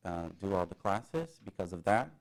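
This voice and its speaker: A young Brazilian man with a Brazilian Portuguese accent, speaking in a very low, deep voice. He chats casually as a student guest, pausing on fillers and laughing a little as he goes. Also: very animated. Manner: rambling, halting with many fillers and laughs. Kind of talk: casual interview chat.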